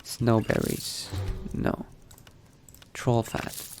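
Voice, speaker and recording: A man narrating his role-play gameplay in character, musing to himself in an unhurried, gravelly voice. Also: a little mellow, soft background music. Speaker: gaming video host, role-playing player.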